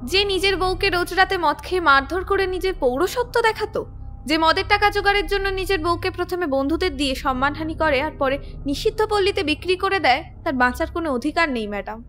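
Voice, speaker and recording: A woman's voice: very high-pitched, a little fast. A woman playing a bitter, defiant killer. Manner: bitter, defiant, coldly calm. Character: vengeful young woman killer confessing, cold and bitter. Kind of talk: Bengali audio drama acting.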